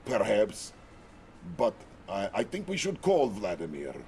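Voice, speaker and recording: A man's voice: on the low side, wildly swooping pitch, a little slow, a little mellow, slight background noise, full character voice. An actor playing a jovial, blustering male Soviet premier in a game cutscene, with a hearty, theatrical delivery. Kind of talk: full-motion video game cutscene.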